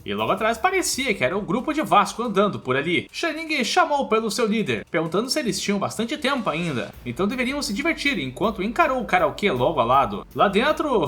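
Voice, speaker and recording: A man's voice: high voice, fairly bright tone. A Brazilian man narrating a scripted story recap in an informal, colloquial storytelling way.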